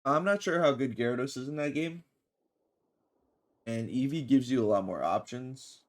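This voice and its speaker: Casual male game streamer, medium pitch. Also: normal speed. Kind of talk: casual live stream commentary.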